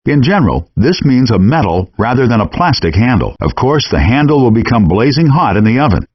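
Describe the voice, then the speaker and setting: A man's voice: medium pitch, very animated, a little fast, husky, no echo. A man voicing a chef instructor in a cooking video game, reading scripted explanatory instructions in muffled, compressed game audio. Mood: instructive.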